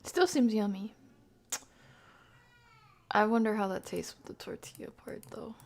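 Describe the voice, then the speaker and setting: Woman livestream host, off-the-cuff casual reactions, gravelly fry in the voice. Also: medium pitch, expressive, unhurried, darkish tone. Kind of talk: casual chat, reacting to videos and reading chat.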